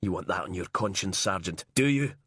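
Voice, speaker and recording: A man reading a furious, grieving father who speaks slowly and bitterly. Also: low-pitched, animated, a bit bright, slightly rough, no room sound, studio quality, full character voice. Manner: slow, bitter, menacing.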